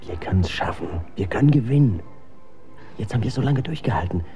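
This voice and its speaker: An actor playing a tired, gently persistent young man in a radio drama, earnest and coaxing. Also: on the low side, expressive, a little fast, faint music behind, natural voice. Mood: coaxing, urgent. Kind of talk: German radio drama acting. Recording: clearly noisy background ambience.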